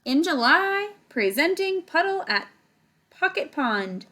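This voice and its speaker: A woman's voice: extremely high pitch, sing-song, takes it slowly, pushed and bright. A woman reading a picture book aloud to children, with chatty asides and checking questions.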